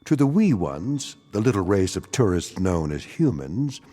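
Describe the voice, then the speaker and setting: Sardonic narrator, hugely animated pitch. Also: male voice, a little slow, long reverb tail. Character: sardonic, knowing storyteller with grim irony. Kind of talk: dramatic scripted narration.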